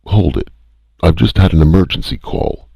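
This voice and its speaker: A man's voice: extremely low pitch, sing-song, measured pace, vocal fry, warm tone, slightly rough, no echo, exaggerated voice. A man voicing a male ship's doctor who speaks carefully and reflectively. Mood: calm. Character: calm, dignified male ship doctor.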